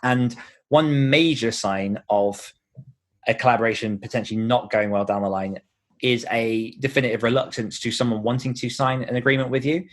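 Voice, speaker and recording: A man talks as an author being interviewed on a podcast. His voice sits at an ordinary middle pitch, and his delivery is casual and conversational. He explains his points in a chatty way, often acting out short examples. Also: steady tone, normal speed.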